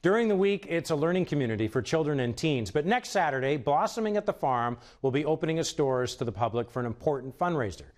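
A man's voice: on the high side, animated, fast talker, few pauses, slight background noise. A male news anchor introducing a feature in a studio with a playful, bantering delivery.

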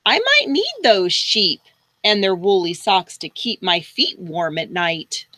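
A woman's voice: medium pitch, big pitch swings, slow, sharp tone, dry recording, heavily acted. A woman voicing a stubborn, folksy old male farmer in a read-aloud storybook. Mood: doubtful.

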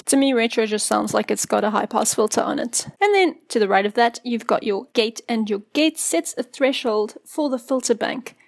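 A woman narrating an instructional software tutorial as its presenter, explaining things in a casual way into a close mic. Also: a little high, lively intonation, moderate pace, vocal fry, few pauses.

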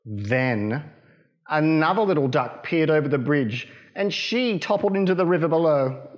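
A man in his thirties reading a picture book to children in a playful, expressive way. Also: on the high side, normal speed, highs cut off. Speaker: library storytime reader. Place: small children's library corner, indoors.

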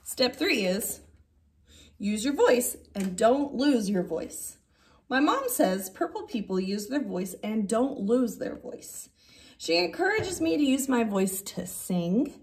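Woman, 30s, high lively voice, slow pace, children's story read-aloud, drawn-out emphasis. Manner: expressive, drawn-out emphasis on key words. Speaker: teacher reading a picture book aloud. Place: indoor classroom.

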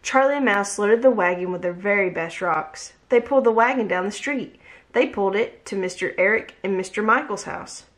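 A woman in her thirties reading a picture book aloud with gentle, expressive storytelling, at home on a webcam. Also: mid-range voice, moderate pace. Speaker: read-aloud host. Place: home room, indoors. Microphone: webcam at desk distance.

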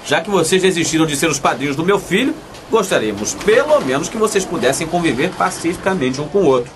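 A man's voice: fairly high pitch, sing-song, quick pace, noisy background. An actor with a Brazilian accent playing a hapless, cajoling father in a colloquial comic radio soap. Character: hapless, pleading young father narrating his own story.

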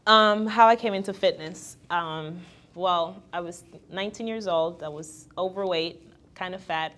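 Woman, 20s, trainer guest; low-ish register, open and chatty, animates quoted friends. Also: a little slow. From Guyana. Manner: candid, laughs easily, quotes others in lively voices. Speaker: guest, fitness trainer and entrepreneur. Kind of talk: casual interview conversation.

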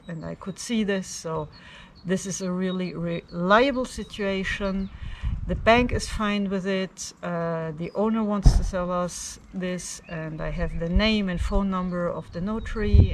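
A woman's voice: lowish voice, distant mic, slight background noise. A woman in her fifties from Switzerland giving an earnest, chatty vlog update outdoors in a garden, filmed on a camera at arm's length. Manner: chatty and earnest, with ums and restarts. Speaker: vlogger.

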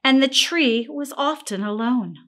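A woman narrating a children's picture book in an expressive, deliberate way. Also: high voice. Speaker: storybook reader. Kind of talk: scripted children's story narration.

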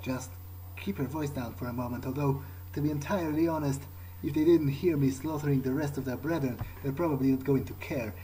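A man's voice: mid-range voice, fairly quick, hardly any pauses, mellow, slight background noise, low-quality recording. A man doing in-character roleplay commentary over a video game, thinking aloud and often repeating words hesitantly. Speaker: gaming video player.

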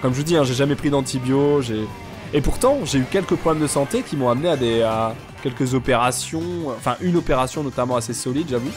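A young man with a stuffy nose, chatting casually and joking deadpan with viewers while he streams a game. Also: medium pitch, quick pace, over music. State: congested, sick with sinusitis. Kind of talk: casual live-stream chat while gaming.

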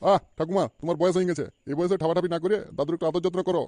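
A man's voice: high-pitched, steady tone, racing, mellow, no room sound, natural voice. A man voicing a hesitant, worried young village man who talks crudely in an amateur comedy cartoon. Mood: sly.

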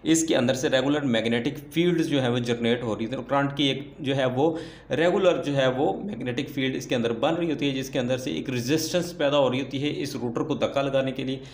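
A man's voice: mid-range voice, brisk. A man teaching repair at a workbench, explaining step by step and asking himself questions before answering them. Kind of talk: hands-on repair tutorial. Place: workbench indoors, off camera. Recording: echoey room.

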